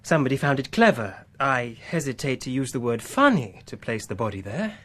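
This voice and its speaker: An actor playing a diplomatic English gentleman in a radio drama, polite, measured and formal. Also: mid-range voice, sing-song. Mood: diplomatic, assured. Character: urbane, diplomatic English gentleman, smoothly reassuring. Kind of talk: naturalistic radio drama acting.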